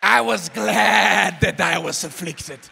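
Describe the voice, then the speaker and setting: A young male pastor preaches to a congregation in a big sanctuary, his high, bright voice carrying a gravelly edge. He swings from shouted calls to hushed asides. A long reverb rings around each phrase. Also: takes it slowly. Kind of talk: sermon. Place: large church auditorium with congregation. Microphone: handheld mic.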